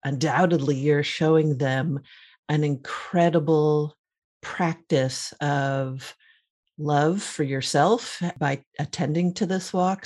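Woman, middle-aged, gravelly, low, reflective, asks questions. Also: darkish tone. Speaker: podcast co-host. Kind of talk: casual morning chat.

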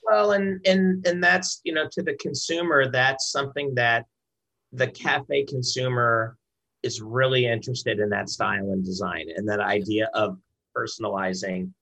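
A man's voice: mid-range voice, steady tone, normal speed, gravelly, bright, forceful tone, poor audio. A man speaking as a brand director and interview guest in a conversational business style, often repeating words as he explains.

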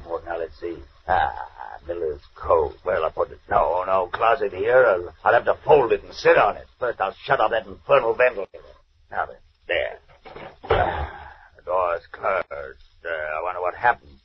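An actor playing a domineering, scheming villain in a 1940s radio serial, commanding, impatient and calculating. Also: male voice, low voice, normal speed, close to an everyday voice. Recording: muffled, noisy old radio recording.

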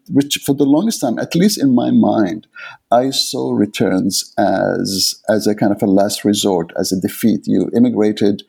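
A reflective Arabic-speaking man in his fifties from the Middle East speaks as an interviewed author. His voice is gravelly, dark and mellow, with a husky edge. He often stops and repeats words while he looks for the right phrasing. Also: fairly low pitch.

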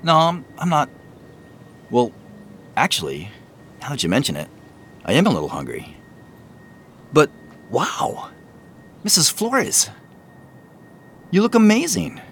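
An actor playing a polite, hesitant man in naturalistic audio drama dialogue, speaking haltingly. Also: fairly high pitch, huge pitch swings, a bit bright, a little hiss or hum, exaggerated voice. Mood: polite, surprised. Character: Joseph, polite, hesitant man visiting old friends.

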